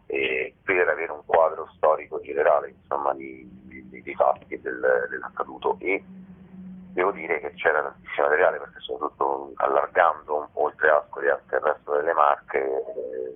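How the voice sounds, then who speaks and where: A man giving halting, explanatory interview answers over a telephone, often pausing mid-sentence. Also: a bass voice, breathy edge. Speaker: theatre author and actor, interview guest. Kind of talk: informal radio interview answers. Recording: tinny, narrow phone line.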